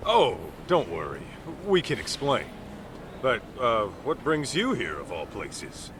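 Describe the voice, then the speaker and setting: An actor playing a gruff, easygoing male street detective in a game cutscene, talking bluntly and slangily. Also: medium pitch, huge pitch swings, slow pace, noisy background. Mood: measured, serious. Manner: blunt, casual slangy talk. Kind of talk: dramatic game cutscene dubbing.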